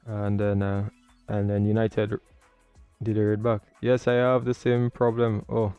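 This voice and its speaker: A man's voice: fairly low pitch, monotone, unhurried, smooth, dry recording, highs cut off, faint music behind. A man hosting a livestream, talking casually as he comments and explains as a learner. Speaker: livestream host learning air traffic control.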